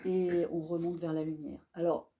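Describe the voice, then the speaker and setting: Mellow-voiced woman hosting a book talk. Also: fairly low pitch, little pitch movement, moderate pace. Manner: enthusiastic, admiring. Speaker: bookseller hosting an author talk. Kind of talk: live book event introduction. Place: bookshop event room.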